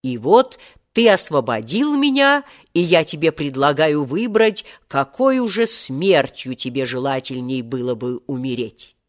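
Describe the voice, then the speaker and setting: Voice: medium pitch, expressive, measured pace, close to an everyday voice. A storybook reader voicing an ancient, wrathful male genie who speaks in a pompous, archaic and menacing way. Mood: grim, grandiose. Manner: archaic, grandiose, menacing speech. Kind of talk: acted children's storybook reading.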